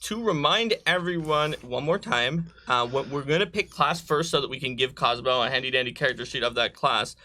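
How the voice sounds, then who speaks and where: Male co-host for a games livestream. Bright, forceful tone, casual and chatty, talks straight to the audience. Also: medium pitch. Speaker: co-host and game designer.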